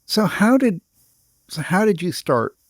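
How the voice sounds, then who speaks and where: A man hosting a podcast, reading a measured, articulate intro and asking interview questions. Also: high voice, sing-song, no echo, studio quality. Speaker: podcast host. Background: music.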